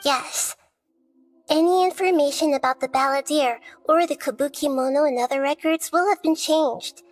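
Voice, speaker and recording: Game role, for an actress: wise, composed little goddess, soft girlish high voice, measured storybook delivery, music underneath. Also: close to an everyday voice. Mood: calm, thoughtful. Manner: measured, thoughtful, articulate. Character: small childlike female deity, gentle, wise, composed. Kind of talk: video game dialogue and fairy-tale narration.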